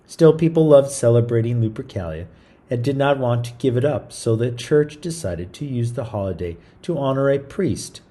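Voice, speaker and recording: Mellow, animated male storyteller. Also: medium pitch, moderate pace. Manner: informal, chatty, stumbles while reading. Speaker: read-aloud storyteller, show host. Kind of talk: reading a picture book aloud with casual asides.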